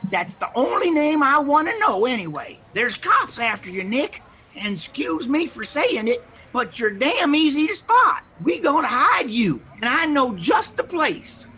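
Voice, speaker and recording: Woman playing bright, high-pitched streetwise Toofy. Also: sing-song, measured pace, exaggerated voice. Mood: chummy, conspiring. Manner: slangy, folksy, blunt.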